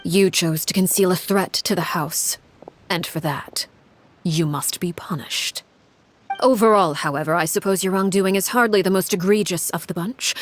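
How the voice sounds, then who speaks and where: A woman voicing a cold, imposing female head of household called Father in a video game, speaking in a measured, formal, menacing way. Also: lowish voice, close to an everyday voice. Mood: stern, controlled. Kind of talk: scripted video game acting. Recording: clear background noise with clicks.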